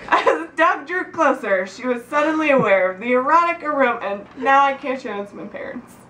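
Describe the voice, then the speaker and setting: Woman, high voice, giggly informal read-aloud, roomy sound from a distant mic. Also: animated, a little fast, sharp tone, slight background noise. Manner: giggly, often breaking into laughter. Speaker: reader of a birthday story. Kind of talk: reading aloud informally to friends.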